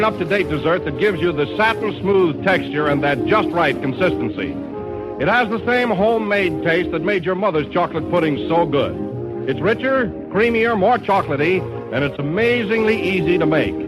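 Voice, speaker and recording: A man's voice: high-pitched, expressive, normal speed, low-quality recording. An American man reading a scripted commercial as an announcer in 1930s radio style. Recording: old radio broadcast with loud music.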